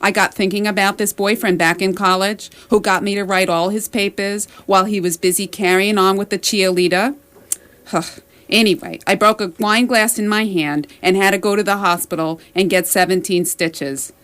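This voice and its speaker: A woman's voice: mid-range voice, steady tone, moderate pace, a bit bright, close to an everyday voice. A woman playing a chatty, fretful middle-aged wife, rambling plaintively in a comedic radio sketch.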